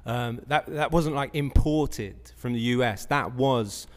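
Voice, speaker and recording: A man's voice: medium pitch, takes it slowly, big-room sound. A man hosting a podcast interview, asking casual questions full of fillers. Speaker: podcast host. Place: large bright studio, indoors. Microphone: stand mic.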